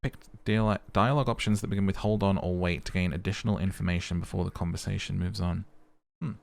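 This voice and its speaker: A man's voice: low-pitched, steady tone, quick pace, creaky. A man giving playthrough commentary and narration as a game streamer, with music under his voice.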